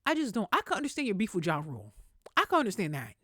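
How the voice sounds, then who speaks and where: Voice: medium pitch, wildly swooping pitch, very fast, sharp tone, breathy edge, no room sound, studio quality. A podcast host giving casual, opinionated celebrity commentary in a conversational, emphatic way with plenty of slang.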